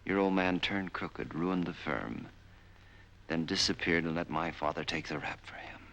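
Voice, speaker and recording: Deep-voiced, vengeful noir heavy. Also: male voice, creaky, a bit bright, lo-fi sound. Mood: cold, commanding. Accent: American. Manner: cold, commanding, deliberate. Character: vengeful, menacing man hunting his father's betrayer. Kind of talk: 1940s film noir acting. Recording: noisy 1940s film soundtrack.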